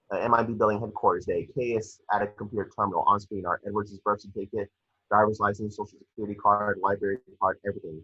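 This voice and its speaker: Guy narrating script action, lowish husky voice, slightly muffled homemade recording. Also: pushed and bright. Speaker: reader of stage directions. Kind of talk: scripted narration at amateur table read.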